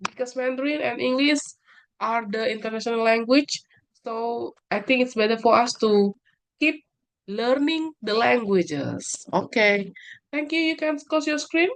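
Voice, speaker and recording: Lady teacher on a video call, kinda high voice, upbeat and encouraging, mixes in words. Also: lively intonation, a little slow, low-quality recording. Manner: encouraging, mixes in Indonesian fillers. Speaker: English teacher. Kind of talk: online class moderation.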